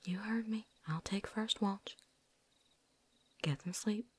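A woman's voice: mid-range voice, takes it slowly. Woman acting a whispering, tough female vampire hunter. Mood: low, steady. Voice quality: breathy, whispery. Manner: folksy drawl with dropped endings, put-on accent. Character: tough, world-weary female vampire hunter, blunt and loyal. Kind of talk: whispered ASMR roleplay.

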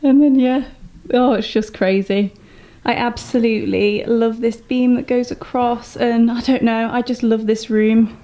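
A woman's voice: a little high, steady tone, unhurried, mellow. A woman in her thirties with an English accent, chatting casually and enthusiastically as she vlogs. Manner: enthusiastic, gushing. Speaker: vlogger. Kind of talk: casual vlog tour, chatty. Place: home room, car, brick barn and yard. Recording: echoey rooms, noticeable background noise.

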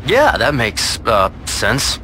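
A nervous game gangster, mid-pitched with big swings in tone. He protests in street slang over noticeable car noise. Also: wildly swooping pitch, slow pace. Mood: nervous, defensive. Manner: informal slang, hesitant, protesting. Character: nervous, defensive street dealer and DJ. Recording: clearly noisy, car interior ambience.

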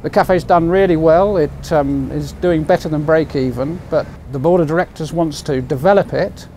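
British guy in his 60s presenting outside, voice a bit high, some noise around. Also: normal speed, warm tone. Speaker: project advisor, presenter. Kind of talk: presenting to camera. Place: outdoors at a railway station. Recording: faint outdoor station noise.

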